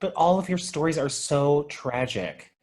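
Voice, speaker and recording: A man's voice: a little high, lively intonation, a little slow, a little mellow. Guy playing cheery supportive store clerk, very up and down voice, sympathetic, comedy read. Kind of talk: comedy script table read.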